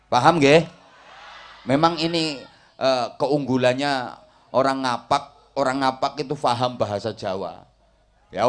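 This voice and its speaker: A male Islamic preacher from Java with a Javanese accent addresses a congregation. His delivery is folksy and jokey, built on rhetorical turns and checks that people understand, at a middling pitch for a man. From Java, Indonesia. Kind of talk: humorous sermon, mixing Indonesian and Javanese.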